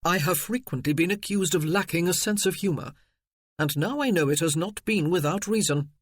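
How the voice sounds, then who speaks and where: A cool, unhurried lord with bone-dry wit, as a male narrator plays him in a period storybook. He speaks lower and slower than the rest, with measured menace. The recording is dry and close. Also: no room sound. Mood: cold. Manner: cold, slow, dry. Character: tall, cold, dry-witted lord.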